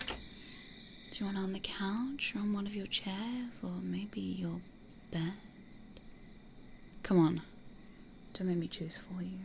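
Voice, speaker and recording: Woman acting slow, whispering, possessive vampire woman. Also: mid-range voice, darkish tone, slight background noise. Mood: playful, coaxing. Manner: breathy whispering, slow, coaxing and domineering. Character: possessive, teasing female vampire hypnotist, predatory and coaxing. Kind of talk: ASMR roleplay. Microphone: close studio condenser microphone.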